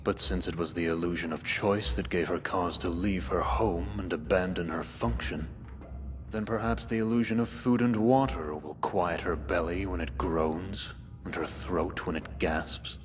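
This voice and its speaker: Man playing a deep-voiced, pompous, menacing keeper. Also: steady tone, close to an everyday voice. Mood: stern, imperious. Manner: pompous, formal, measured, menacing. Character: cold, domineering male keeper, a zealot slaver. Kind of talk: dramatic video game dialogue. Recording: long reverb, loud music behind.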